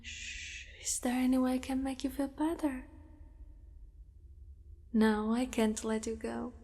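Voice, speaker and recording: Casting: woman to voice a possessive, doting spider-girl monster for ASMR roleplay, high soft breathy voice, slow coaxing delivery, cavernous reverb. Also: steady tone. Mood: soothing, then firm, possessive. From Italy. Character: possessive, doting female spider monster who kidnaps a mate.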